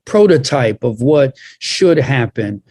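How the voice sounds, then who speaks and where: A man in his sixties on a panel, sharing personal recollections in measured, deliberate phrases. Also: mid-range voice, expressive, a little mellow, no room sound, studio quality. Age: 60s.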